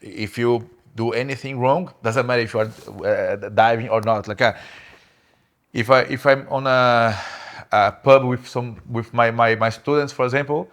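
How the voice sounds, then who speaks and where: Man, halting and repetitive. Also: medium pitch, steady tone, a bit bright. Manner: halting, repeats words, laughs often. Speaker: podcast guest. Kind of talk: casual interview.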